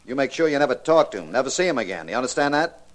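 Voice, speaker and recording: A man's voice: mid-range voice, big pitch swings, rapid-fire, lightly acted. Guy doing a bossy sarcastic old-time radio gangster, impatient, voice swings all over. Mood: irritated, sarcastic. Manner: sardonic, bossy. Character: cold-blooded, impatient gangster bullying his ditzy girlfriend. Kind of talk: 1940s radio acting.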